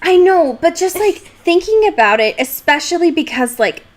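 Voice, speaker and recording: A woman's voice: extremely high pitch, very animated, normal speed. A young woman co-hosting a podcast in casual banter, irreverent and freely swearing in slang. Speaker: podcast co-host.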